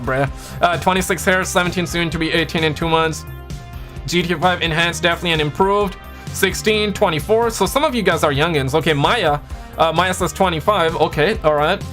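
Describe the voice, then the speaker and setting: High-voiced slangy young streamer guy. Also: a bit bright. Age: 20s. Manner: slangy, stammering, repeats himself, swears casually. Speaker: streamer reacting to gaming news. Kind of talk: casual live-stream chat.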